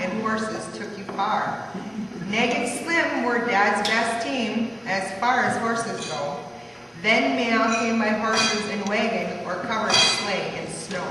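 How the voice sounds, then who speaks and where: A woman's voice: a little high, pushed and bright. A woman reading a rhyming family life story aloud, now and then stumbling over the words. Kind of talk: rhyming verse read aloud. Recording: echoey hall from far off, faint noise.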